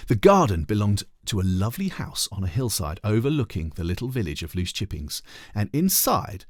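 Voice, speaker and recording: A man, a teacher, reading a children's storybook aloud in an animated narrating style. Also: lowish voice, a little fast, no echo, clean studio sound.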